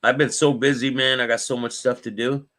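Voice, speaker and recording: A man with a raspy voice hosting a live stream. He reads news aloud and chats with viewers by name. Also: mid-range voice, level tone, brisk, sharp tone. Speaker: host.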